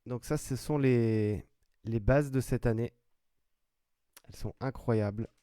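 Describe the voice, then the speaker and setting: A man's voice: medium pitch, slow, warm tone, clean tone, no room sound. A man hosting a trading card break, chatting casually and announcing each player pulled as he opens packs. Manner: chatty, announces player names one by one, fillers and restarts. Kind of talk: live commentary while opening card packs.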